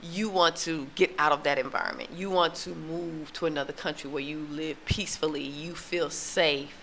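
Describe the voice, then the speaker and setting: A woman's voice: low voice, fairly even intonation, moderate pace, fairly bright tone, a little hiss or hum. An American woman living abroad, talking casually to her viewers in a rambling opinion monologue full of fillers and restarts. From United States. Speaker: relocation vlogger. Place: living in Tanzania.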